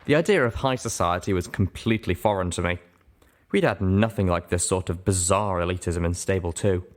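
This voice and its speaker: A man with a fairly low voice narrating a story aloud in an expressive audiobook style. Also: fairly quick. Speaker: narrator reading a fan fiction story aloud.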